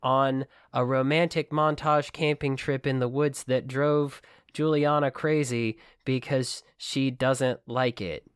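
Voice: medium pitch, fairly even intonation, takes it slowly, hardly any pauses, clean tone, no echo, clean studio sound. A podcast co-host chatting casually about books. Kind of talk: casual book discussion chat.

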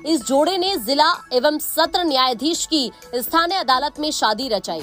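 Woman, Indian accent, high voice, scripted news narration, background music. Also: a bit bright.

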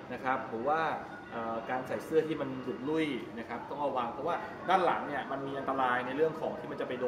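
Chatty man in a noisy, echoey hall. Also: mid-range voice. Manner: chatty, explanatory, rambling. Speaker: vlogger giving a tour. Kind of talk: casual walk-through explanation to camera. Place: large open-sided go-kart pit hall. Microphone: 360 camera at arm's length. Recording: roomy echo, loud ambient noise.

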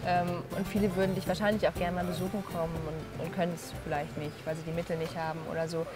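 A woman's voice: on the low side, little pitch movement, fast, over loud music. A young woman interviewing a guest outdoors, asking questions in a curious, conversational way. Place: outdoors at a stadium and a café terrace.

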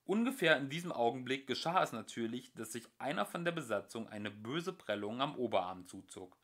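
A young man narrating a home-made audiobook with lively, expressive storytelling. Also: medium pitch, fairly quick. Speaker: audiobook reader. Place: home recording.

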